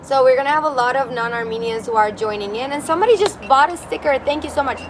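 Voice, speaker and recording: Woman host, casual and giggly, higher pitch, outdoor street noise in the background. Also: fast. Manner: chatty, giggly, reads comments aloud. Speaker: livestream host. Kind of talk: casual livestream chat. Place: apartment balcony by a busy street. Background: street traffic.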